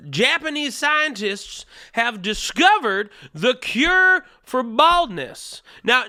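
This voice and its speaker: A man's voice: sits high, bright, forceful tone, no room sound, clean studio sound. A man hosting a comedy show in a put-on Southern US accent, talking casually in a crude, profane, joking monologue.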